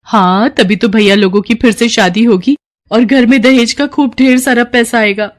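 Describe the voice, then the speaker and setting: A woman voicing a bossy, greedy young sister-in-law who makes demands in an animated moral story. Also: fairly high pitch, moderate pace, voice pushed up, lightly acted. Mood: greedy glee.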